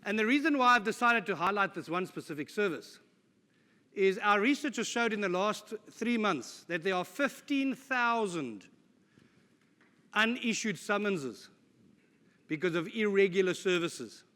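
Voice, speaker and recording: A middle-aged man talks to a conference audience in a casual, joking way, poking fun at himself. His voice is bright and forceful and sits a bit high. A long reverb from a large hall trails behind it. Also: expressive, a little slow. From South Africa. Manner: jokey, informal, with self-deprecating asides. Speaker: conference speaker. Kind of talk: conference presentation. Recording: live talk in a reverberant hall.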